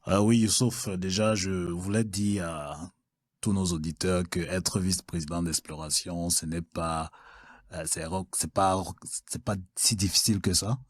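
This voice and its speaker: Deep-voiced man. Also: steady tone, slightly rough, dry recording, clean studio sound. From Cameroon. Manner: measured, with frequent filler sounds. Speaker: guest, mining executive. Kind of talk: interview answers.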